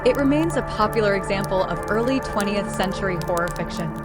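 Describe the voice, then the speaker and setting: Woman narrating over loud music. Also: medium pitch, lively intonation, fairly quick. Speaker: presenter. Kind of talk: scripted introduction narration.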